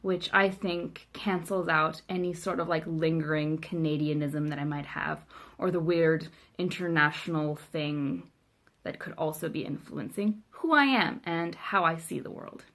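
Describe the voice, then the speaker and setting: A woman's voice: low voice, a little slow, a bit bright. Wry, chatty young woman. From South Africa. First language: Afrikaans. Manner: chatty, wry and self-mocking, with frequent ums. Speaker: vlogger. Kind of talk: casual video letter to a friend.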